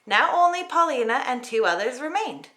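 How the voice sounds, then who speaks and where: A woman narrating a children's story in an expressive read-aloud style. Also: high-pitched, moderate pace. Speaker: storybook reader.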